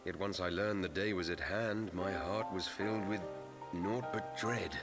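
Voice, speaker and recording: An actor playing an earnest, conflicted young noble heir in a fantasy game, speaking in formal archaic language. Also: male voice, deep voice, unhurried, over music. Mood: troubled. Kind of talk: scripted fantasy game acting.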